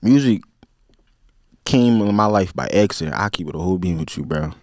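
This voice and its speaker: A man in his twenties with a Philadelphia accent, a podcast guest talking in a relaxed, slang-heavy, rambling way. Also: low voice, fairly quick, no echo, clean studio sound. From Philadelphia, USA. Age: 20s. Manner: laid-back, slang-heavy, rambling with many fillers. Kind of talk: casual interview chat.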